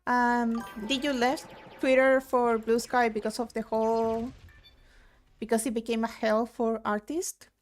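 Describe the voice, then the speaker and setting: A woman's voice: on the high side, level tone, a little slow. Chatty young woman from Argentina streaming. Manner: chatty, reads chat aloud, rambling with fillers. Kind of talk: casual live-stream chat while gaming. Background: game music.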